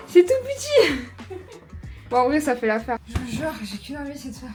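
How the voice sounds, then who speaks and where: A woman's voice: sits high, very animated, a little slow. A young woman from France chatting casually in a travel vlog, slangy and laughing often. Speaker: vlogger. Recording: music over the audio.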